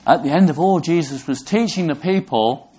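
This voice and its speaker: A man from Brixham, England, preaching a sermon in an earnest, halting way, often restarting his words. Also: a little high, mellow, slightly rough. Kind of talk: sermon to a congregation.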